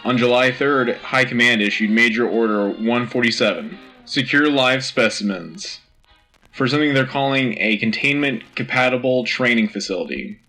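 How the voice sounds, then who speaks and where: A man's voice: medium pitch, normal speed, bright, forceful tone, faint music behind, poor audio. A man playing an earnest, rousing war news anchor on a sci-fi radio show, with dramatic, punchy delivery. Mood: grave, urgent.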